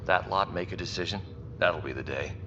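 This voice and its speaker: A resentful, defiant male worker on a space station , played by an actor in a sci-fi game. His voice is fairly low, slightly dulled, over clear background noise. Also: fairly quick, lo-fi sound, natural voice. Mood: bitter, defiant. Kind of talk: video game voice acting.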